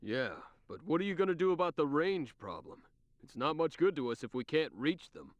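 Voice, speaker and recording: An actor playing a blunt, practical adventurer in a video game, casual and forthright. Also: male voice, a little high, big pitch swings, normal speed, dry recording, highs cut off. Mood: questioning. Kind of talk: scripted video game voice acting.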